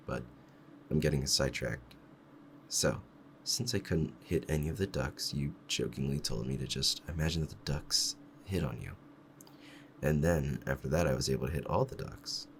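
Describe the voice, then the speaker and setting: Very deep-voiced, doting possessive fox-spirit husband played by a man. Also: slight background noise. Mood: fond, rambling. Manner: soft, rambling, hesitant, lovestruck. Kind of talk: intimate ASMR roleplay monologue.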